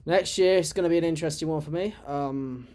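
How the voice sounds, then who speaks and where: A man's voice: fairly high pitch, quick pace, warm tone. A man from England whose English accent has Irish touches. He chats casually as a streamer while playing a game, rambling with many fillers and restarts. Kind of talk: casual chat while gaming.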